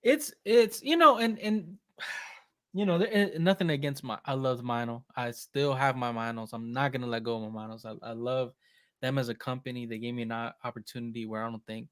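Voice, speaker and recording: Animated man chatting about drumming. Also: medium pitch, no room sound, clean studio sound. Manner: animated, fast-talking, slips into Spanish phrases. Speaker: percussionist guest. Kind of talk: casual live chat.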